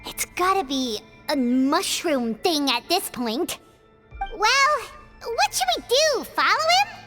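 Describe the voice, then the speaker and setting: A woman's voice: very high-pitched, sing-song, slow, over music, heavily acted. A woman playing a cheeky, sceptical girl adventurer with a teasing, sarcastic manner in a video game. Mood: sarcastic, puzzled. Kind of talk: video game character acting.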